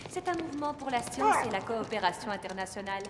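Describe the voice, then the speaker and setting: A woman's voice: on the high side, big pitch swings, fast, very noisy. A woman playing an ambitious young science student in a cartoon, talkative and self-assured, with long reverb. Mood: confident. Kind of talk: dubbed cartoon acting.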